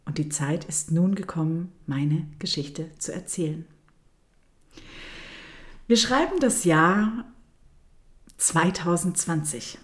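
A woman with a German accent hosting her own podcast, telling her personal story in a soft, intimate voice that often drops close to a whisper. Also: fairly low pitch. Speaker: podcast host, dance teacher. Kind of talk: storytelling monologue.

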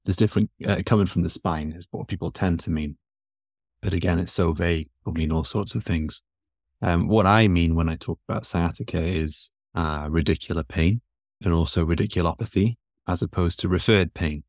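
A very low-voiced man with a Newcastle accent talks as a podcast guest. He is relaxed and self-deprecating, with plenty of fillers and restarts. His voice is recorded dry and close. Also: fairly quick, no room sound. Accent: Newcastle English. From north of England. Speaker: guest, physiotherapist and educator. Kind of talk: relaxed conversational interview. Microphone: podcast microphone.

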